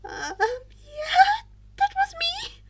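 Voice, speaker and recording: A woman's voice: extremely high pitch, big pitch swings, takes it slowly, voice pushed up, exaggerated voice. A woman voicing a shy, flustered teenage schoolboy who stammers hesitantly in a fan fiction audiobook. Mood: nervous, flustered.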